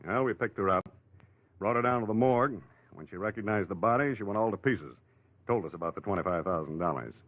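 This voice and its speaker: An actor playing a matter-of-fact homicide lieutenant in a 1940s American radio crime drama, with clipped, slangy tough-cop talk and an American accent. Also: male voice, on the low side, quick pace, no room sound, lightly acted. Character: beleaguered, matter-of-fact police homicide lieutenant briefing reporters. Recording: thin, phone-like old radio recording.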